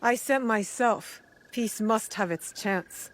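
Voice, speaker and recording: A blunt young shinobi. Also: female voice, fairly high pitch, slow pace, a little hiss or hum. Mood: resolute, terse. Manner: blunt, terse, resolute. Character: determined, guarded young female shinobi warrior. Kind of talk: video game cutscene acting.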